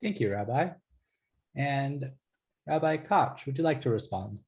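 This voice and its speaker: A man's voice: mid-range voice, animated, a little slow, a little mellow. A man in his forties moderating a video panel, asking questions in a friendly, hesitant way. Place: home living room. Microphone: video call.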